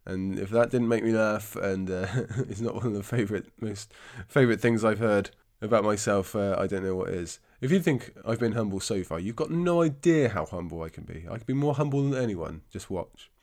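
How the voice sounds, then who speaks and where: A man's voice: fairly low pitch, fairly quick, few pauses, a little mellow. A man from England hosting a book podcast in a chatty, self-deprecating way, his voice congested and his throat scratchy from a cold. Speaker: podcast host. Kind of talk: casual book podcast monologue.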